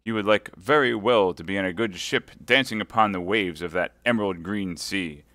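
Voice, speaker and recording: A man's voice: on the low side, normal speed, dry recording, studio quality, lightly acted. A man playing a sneering vengeful baron. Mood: mocking. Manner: sneering, mocking, forceful. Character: stern vengeful English baron, mocking and cold.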